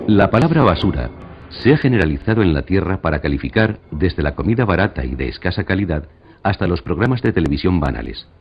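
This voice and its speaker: A man with an accent from Spain reading scripted documentary narration for radio in a formal, measured way. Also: deep voice, very animated. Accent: Spanish, from Spain. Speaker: radio programme presenter. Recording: dull radio playback in echoey hall.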